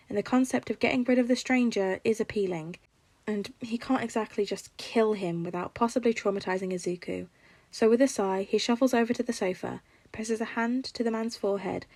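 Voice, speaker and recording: Creaky-voiced woman narrating fiction. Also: medium pitch, a little mellow, no room sound. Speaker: podfic narrator.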